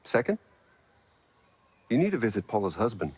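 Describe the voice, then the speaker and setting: A man's voice: on the low side, very animated, moderate pace, a little mellow, husky. An actor playing a brilliant male engineer in a video game cutscene, earnest and pressing as he explains. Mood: urgent.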